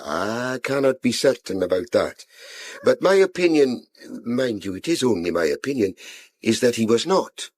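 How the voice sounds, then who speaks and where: An actor playing a cautious country doctor with a careful, precise manner, in a radio drama. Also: male voice, medium pitch, sing-song, a little mellow, dry recording. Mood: cautious, clinical. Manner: careful, measured, qualifying.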